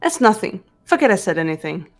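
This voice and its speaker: A woman voicing a cool, determined teenage detective girl in a visual novel game, measured and reserved. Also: medium pitch, very animated. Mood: quiet resolve.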